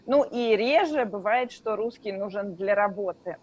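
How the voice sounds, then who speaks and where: A woman from Russia, a Russian teacher, explaining things calmly in a casual interview with drawn-out fillers. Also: medium pitch.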